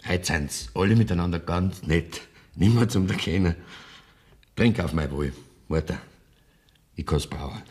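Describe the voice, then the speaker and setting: An actor playing a condemned poacher in a German radio play, a wistful, plain-spoken rustic son with a Bavarian accent. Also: male voice, deep voice, a little slow, long pauses, darkish tone. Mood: tender, wry.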